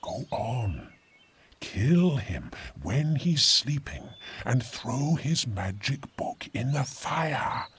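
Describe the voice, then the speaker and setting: A man voicing a servile, bitter island monster in a storybook, with slow, drawn-out words. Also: mid-range voice, sing-song, fairly bright tone, breathy edge, exaggerated voice. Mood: scheming. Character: strange fishy island monster, servile, bitter, urging murder. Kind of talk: storybook character voice.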